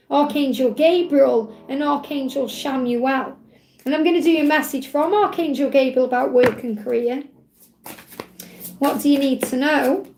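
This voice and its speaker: A woman, a tarot reader hosting a live Q&A, talking in a chatty, reassuring way while her voice grows croaky. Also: sits high, a little mellow, echoey room, a little hiss or hum. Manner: chatty, reassuring, repeats phrases, ends points with "okay?". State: voice getting croaky. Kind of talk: live-stream tarot reading, reading out chat messages.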